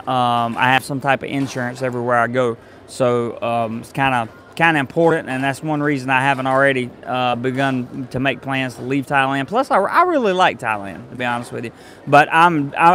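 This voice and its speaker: A man's voice: a little high, fairly bright tone. A man, reading out viewer comments in a rambling, casual live stream. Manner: rambling, full of fillers and restarts. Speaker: travel vlogger reading live chat. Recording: faint street noise behind.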